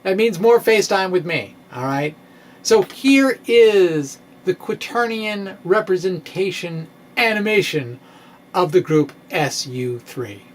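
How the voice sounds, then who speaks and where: A middle-aged man reading his science blog dramatically, in a quirky, halting way with drawn-out pauses. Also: high voice. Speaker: physics video blogger. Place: small indoor room with bookshelves. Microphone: microphone on a stand in front of him. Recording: steady clear background noise.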